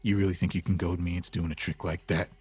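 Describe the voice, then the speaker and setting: A man's voice: low voice, level tone, fast talker, darkish tone, husky, dry recording. A man playing a cocky young action star villain in a table read, with a smug, laid-back bro drawl. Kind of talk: table read of a comedy film script.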